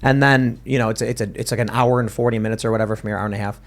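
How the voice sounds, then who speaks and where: A man's voice: mid-range voice, rapid-fire. A man hosting a casual podcast panel, talking emphatically and often restarting his sentences. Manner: emphatic, animated, with frequent restarts. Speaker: podcast host.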